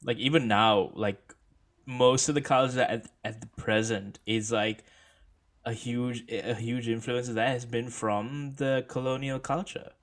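A man co-hosting a podcast, jumping in with quick remarks full of "like". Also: medium pitch, steady tone. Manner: quick interjections with many "like" fillers. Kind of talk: casual discussion.

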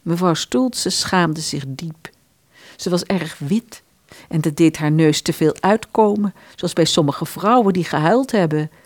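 A woman narrating a literary radio play from a script in an expressive storytelling manner. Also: fairly low pitch, a little slow, no room sound, clean studio sound.

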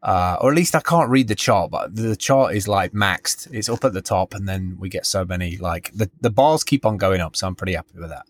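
A man's voice: deep voice, expressive, fairly quick, hardly any pauses, no room sound, studio quality. A man co-hosting a podcast in casual, rambling chat, often interrupting himself as he tells a story. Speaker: podcast co-host.

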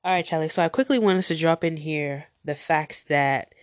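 Low-voiced, nasal woman with a cold. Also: normal speed, dry recording, studio quality. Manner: chatty, rambling, goes off on tangents. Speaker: podcast host. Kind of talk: casual solo podcast chat.

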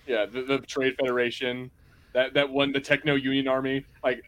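A man's voice: mid-range voice, flat delivery, normal speed, fairly bright tone, low-quality recording. Man chatting casually on a podcast. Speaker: podcast panelist. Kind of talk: casual film watch-party chat.

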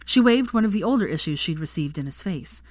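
A woman's voice: fairly low pitch, animated, brisk, no room sound. A woman reading fan fiction aloud as scripted story narration.

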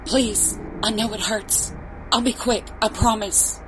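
A woman's voice: medium pitch, fairly even intonation, takes it slowly, lightly acted. A woman playing a frightened but determined captive woman in a horror audio drama. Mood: hushed, determined. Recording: noisy background hum.

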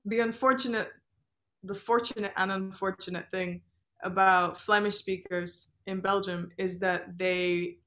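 A young woman, a native Turkish speaker, chatting casually as a musician guest, laughing often between quick asides. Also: medium pitch, fairly even intonation, a bit bright. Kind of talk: casual chat.

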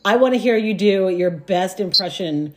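A woman's voice: mid-range voice, expressive, normal speed. A woman with an American accent hosting a casual chat in an enthusiastic, exclamatory way. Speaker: podcast host.

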